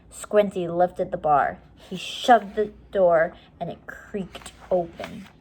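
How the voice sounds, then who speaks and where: Slow-paced woman reading a children's story. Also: fairly low pitch. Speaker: story reader. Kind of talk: children's chapter book read-aloud.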